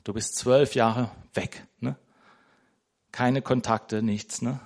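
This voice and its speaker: A man's voice: medium pitch, steady tone, slow, gravelly. A man preaching an informal sermon in a church, talking conversationally, searching for words and ending most sentences with a questioning tag. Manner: conversational, tags nearly every sentence with "ne?". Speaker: lay preacher.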